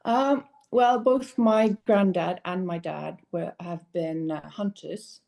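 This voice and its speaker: Young Norwegian-raised woman, casual and relaxed. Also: on the low side, slow pace, gravelly. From Norway. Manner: relaxed, laughs easily, short hesitant restarts. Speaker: guest, powerboat racer. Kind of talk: casual podcast interview.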